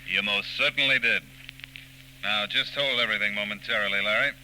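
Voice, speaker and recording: A man playing a condescendingly patient crime boss in a 1940s radio drama, measured, formal and menacingly polite. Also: on the low side, natural voice. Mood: patronizing. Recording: noisy vintage 1940s radio recording.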